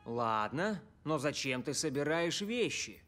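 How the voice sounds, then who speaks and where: An actor playing an earnest, persuasive young soccer player in a dubbed cartoon. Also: male voice, a little high, sing-song, measured pace, fairly bright tone, soft background music. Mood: pleading, firm. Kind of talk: cartoon dub dialogue.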